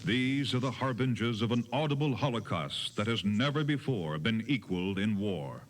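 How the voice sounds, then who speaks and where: A man narrating a dramatized history tour in a solemn, measured, scripted storytelling style, on an old cassette recording. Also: lowish voice, animated. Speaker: narrator of a battlefield audio tour. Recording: noisy 1980s cassette tape.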